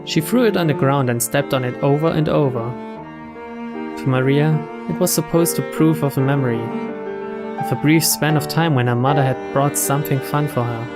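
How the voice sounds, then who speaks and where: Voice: mid-range voice, moderate pace. A narrator giving a scripted reading of a story from a visual novel. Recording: voice over clear string music.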